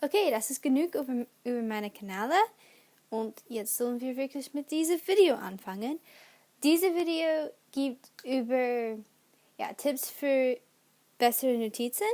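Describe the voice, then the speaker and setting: A young woman with an English accent talks slowly and haltingly, stopping to fix her words as she goes. Her voice sits a little high. She hosts a study-tips video in a casual, unhurried way. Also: mellow. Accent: English-speaking learner of German. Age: young adult. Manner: slow and halting, with pauses and self-corrections. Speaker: student channel host.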